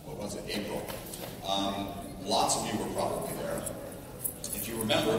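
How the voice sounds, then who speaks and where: A middle-aged American man, slightly hoarse, giving an informal conversational keynote in a large conference ballroom, picked up from the audience. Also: lowish voice. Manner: conversational, restarts for emphasis, tags points with "right?". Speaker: technology executive, conference speaker. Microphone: PA heard through a distant audience camera. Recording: echoey hall, distant audience recording, noisy.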